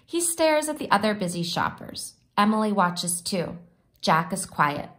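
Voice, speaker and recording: Animated woman reading a picture book aloud. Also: medium pitch. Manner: animated, pausing to explain pictures. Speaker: storybook reader.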